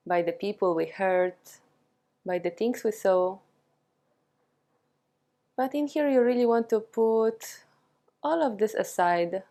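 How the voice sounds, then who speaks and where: Slow-paced woman guiding a meditation. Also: mid-range voice. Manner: calm, soothing, with long pauses between short phrases. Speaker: yoga and meditation teacher. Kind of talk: guided meditation.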